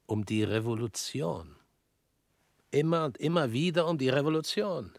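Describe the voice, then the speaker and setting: An actor in a radio drama playing a stern male revolutionary who speaks in measured, pointed lines and often drops to a hushed whisper. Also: mid-range voice, lively intonation, gravelly, no echo, studio quality. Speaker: revolutionary visitor.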